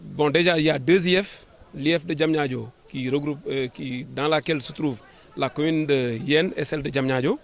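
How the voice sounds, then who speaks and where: Higher-voiced Senegalese man, formal and halting. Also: steady tone, gravelly. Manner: formal administrative phrasing, halting with repeated words. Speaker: government official, sub-prefect. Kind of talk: press interview answers.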